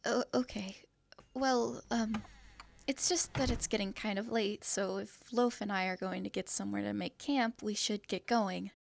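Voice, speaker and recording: A woman's voice: medium pitch, steady tone, normal speed, creaky, slight background noise, natural voice. A woman voicing a shy young winged girl who travels with her cat, speaking in a hesitant, apologetic way. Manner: hesitant, apologetic, soft-spoken. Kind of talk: fantasy audio drama acting.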